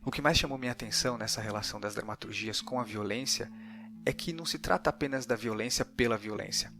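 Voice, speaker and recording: A man's voice: medium pitch, quick pace, sharp tone. A man with a Brazilian accent narrating a scripted podcast as its host.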